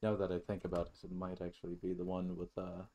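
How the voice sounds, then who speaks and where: A man's voice: deep voice, monotone, fast, dark tone. A man giving casual, informal gameplay commentary as a let's-play host, thinking aloud as he goes.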